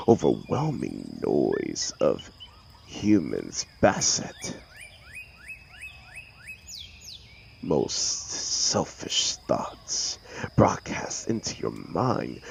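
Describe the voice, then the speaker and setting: Man playing a very deep, gravelly telepathic villain. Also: slight background noise, full character voice. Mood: realizing, sympathetic. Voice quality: gravelly, breathy at times. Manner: slow, theatrical, hushed, commanding yet soothing. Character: brooding telepathic male villain turned gentle protector. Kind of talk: audio roleplay drama, intimate comforting monologue.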